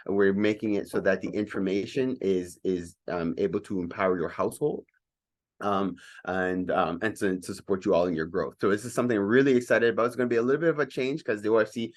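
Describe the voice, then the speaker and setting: A man hosting a community networking meeting on a video call, sharing updates eagerly and introducing a guest. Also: mid-range voice. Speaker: host of a community networking club. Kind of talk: meeting host announcements.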